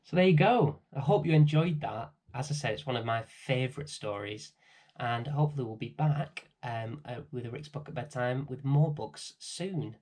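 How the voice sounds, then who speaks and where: A man reading a children's bedtime story aloud as host, in an expressive, dramatic, theatrical manner. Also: mid-range voice. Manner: dramatic, theatrical, deliberate phrasing.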